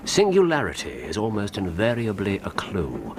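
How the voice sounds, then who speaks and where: Over clearly noisy ambience comes an English gentleman detective in a radio play. His low voice is brisk and authoritative, and he sets out his reasoning with precise emphasis. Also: wildly swooping pitch. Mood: assured, analytical. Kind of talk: radio drama acting.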